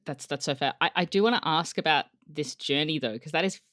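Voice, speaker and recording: A woman's voice: deep voice, brisk, fairly bright tone, no room sound, studio quality. A woman from Australia, co-hosting a podcast and asking curious questions. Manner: curious, laughing. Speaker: podcast co-host. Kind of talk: casual podcast chat.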